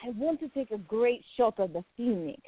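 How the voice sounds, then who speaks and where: A woman's voice: fairly high pitch, animated, moderate pace, breathy edge, dry recording, phone-quality sound. A woman playing a smitten, eager, affectionate young woman in a radio play. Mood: coaxing.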